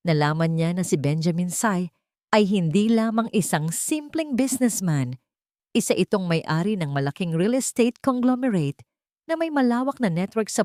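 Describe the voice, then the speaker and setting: Low-pitched woman narrating drama. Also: darkish tone, no room sound, studio quality. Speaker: story narrator. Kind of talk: scripted dramatic romance story narration.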